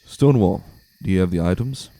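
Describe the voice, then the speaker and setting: A man's voice: low voice, a little fast, mellow, no room sound. A man voicing a zealous unicorn cult leader in a reverent, grandiose, ceremonial manner for a storybook reading. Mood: commanding.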